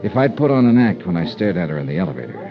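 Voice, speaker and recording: An actor playing a wry, smitten hard-boiled investigator in 1950s radio drama, often hesitant. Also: male voice, deep voice, very animated, racing, creaky, exaggerated voice. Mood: wry, narrating. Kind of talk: 1950s radio drama acting with first-person narration. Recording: dull old radio recording, music behind.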